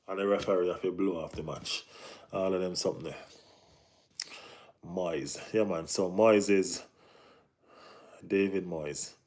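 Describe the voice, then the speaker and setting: A man's voice: sits low, warm tone. A Jamaican man hosting a casual football live stream, reading and answering chat, often saying "you know what I mean".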